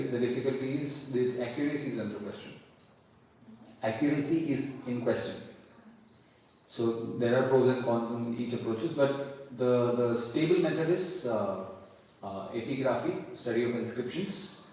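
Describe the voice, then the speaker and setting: A man's voice: mid-range voice, moderate pace, dark tone, a little hiss or hum. A man giving a lecture on history and archaeology and answering audience questions, explaining patiently and repeating key points. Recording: echoey hall, mic far off, faint ambience.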